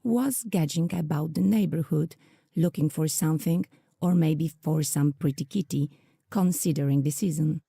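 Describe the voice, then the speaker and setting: A woman's voice: sits low, moderate pace, creaky, warm tone, breathy edge, no room sound, clean studio sound. A woman narrating an audio drama from a script, telling the story in the third person.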